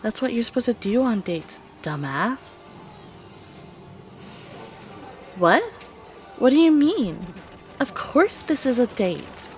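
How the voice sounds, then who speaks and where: A woman's voice: on the high side, big pitch swings, unhurried, a little mellow, soft background music. Woman acting as a playful gamer girl on a date, casual and teasing, kinda blunt, takes her time. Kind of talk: audio roleplay acting.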